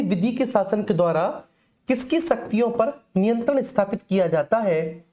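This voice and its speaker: A man's voice: high voice, mellow. A man teaching an informal live online exam class, instructing repetitively and often checking that students follow.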